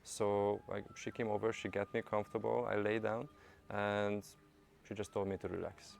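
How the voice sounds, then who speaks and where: A man's voice: on the low side, level tone, moderate pace, gravelly. A man giving a casual spoken testimonial as a client, with some small hesitations.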